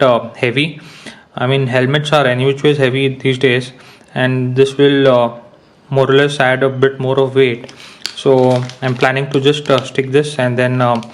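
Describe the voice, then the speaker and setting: A young man explaining an unboxing and install step by step, with many pauses and fillers. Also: mid-range voice. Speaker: motorcycle gear reviewer. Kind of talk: casual product unboxing and how-to.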